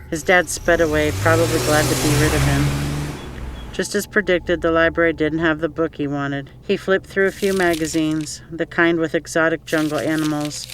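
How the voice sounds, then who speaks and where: A man's voice: a little high, fairly bright tone. A man reading scripted story narration as an audiobook narrator. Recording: faint background noise.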